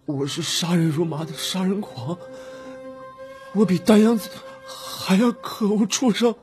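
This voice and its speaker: Guy doing a tormented unstable young taoist, high pitched and really animated, audiobook drama. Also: measured pace, soft background music. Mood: self-loathing. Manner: intense, tormented, agitated delivery. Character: anguished, unstable young man in red Taoist robes.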